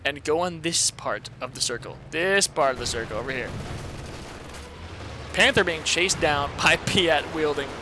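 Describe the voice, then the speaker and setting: A man casually commentating a strategy game replay, excitable and laughing often, talking to his chat, his voice a bit hoarse. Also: on the high side, a little slow, noisy background. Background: video game gunfire and artillery.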